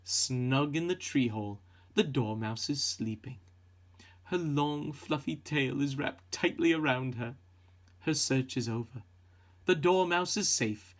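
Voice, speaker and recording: A man narrating a children's picture book in a measured, suspenseful and expressive storytelling manner. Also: mid-range voice.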